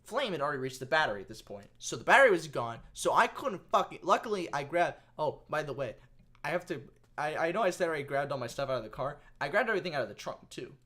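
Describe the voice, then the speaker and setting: A young man co-hosting a podcast, telling a story in casual, animated chat with swearing and restarts. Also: on the high side, quick pace. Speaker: podcast co-host.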